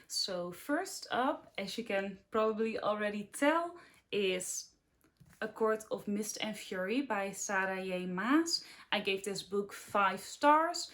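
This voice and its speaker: A woman's voice: medium pitch, lively intonation, slow pace, a bit bright. A young woman in her twenties speaks English with a Dutch accent. She talks in a chatty, upbeat, slightly rambling way, like a casual book vlog to her viewers. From Netherlands. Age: 20s. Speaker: book vlogger.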